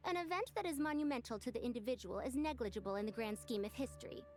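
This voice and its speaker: A woman's voice: on the high side, fast talker, darkish tone, natural voice. A woman voicing a proud, self-assured female scholar in a video game, speaking in a boastful, lecturing manner.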